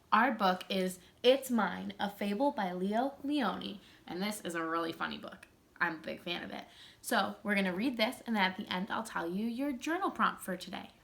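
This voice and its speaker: A woman speaking as a teacher in an expressive read-aloud style meant for children. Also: mid-range voice, vocal fry, a little hiss or hum. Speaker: teacher reading aloud to pupils.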